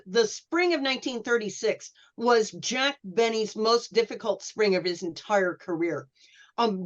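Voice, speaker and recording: A woman speaking as a panelist, giving detailed historical background in an unscripted, halting, scholarly way over a dull online call. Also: medium pitch.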